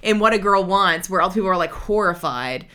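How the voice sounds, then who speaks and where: A woman in her twenties with a very low voice, chatting casually as a podcast co-host, full of "like" fillers. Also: animated, a little fast, sharp tone, clean tone. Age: 20s. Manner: chatty, lots of "like" fillers, laughs easily. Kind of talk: casual chat reviewing a film.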